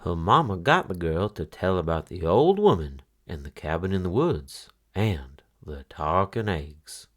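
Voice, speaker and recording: A man reading a children's story aloud as an expressive storyteller. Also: deep voice, a little slow, few pauses.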